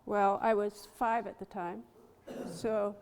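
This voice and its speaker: An older woman artist from Toronto with a soft voice, telling stories slowly and with gentle humor to a live audience in a gallery. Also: mid-range voice, fairly even intonation, clean tone. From Toronto, Canada. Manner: slow, storytelling, gently humorous. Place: gallery hall. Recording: long hall reverb.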